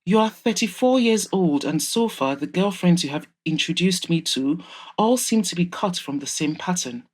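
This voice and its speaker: A woman's voice: on the low side, lively intonation, moderate pace, a little mellow, husky. A woman voicing an exasperated, wealthy mother of a grown son in an audio drama, scolding with sarcasm and emphasis. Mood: worried, reproachful.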